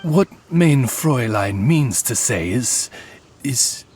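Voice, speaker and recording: A man's voice: mid-range voice, expressive, takes it slowly, darkish tone, breathy edge. A man voicing a dignified, loyal talking raven in an anime-style game, with formal, ornate, butler-like courtesy. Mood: flustered, ornate. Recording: prominent music behind the voice. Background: background music.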